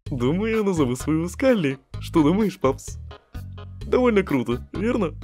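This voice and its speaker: A woman's voice: on the low side, big pitch swings, moderate pace, darkish tone, faint music behind. A woman voicing a protective, casual older skeleton brother in a comic dub. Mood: laid-back. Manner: casual, reassuring.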